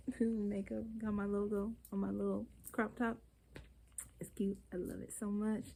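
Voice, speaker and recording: A woman's voice: mid-range voice, pushed and bright, a little hiss or hum, low-quality recording. A woman vlogger chatting casually and candidly straight to her viewers, stuffy from a cold, rambling from one thought to the next. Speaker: beauty vlogger.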